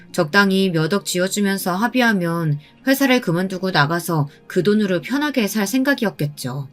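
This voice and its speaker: A woman telling a first-person story in a calm, pointed manner. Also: lowish voice. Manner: calm and pointed, recounting with indignation. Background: soft background music.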